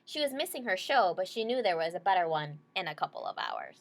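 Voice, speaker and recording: A woman's voice: fairly low pitch, huge pitch swings, fast, gravelly. A woman reading a children's story aloud, stopping to explain things simply and check in with short questions. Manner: checks in with listeners using short tag questions.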